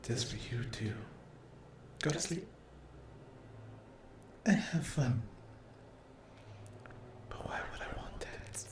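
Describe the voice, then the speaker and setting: A man voicing a sadistic, possessive sleep paralysis demon in an ASMR horror roleplay, taunting and menacing in breathy whispers and gravelly growls. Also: mid-range voice, animated, slow pace, long pauses, pitched above the performer's own voice. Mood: cold, condescending.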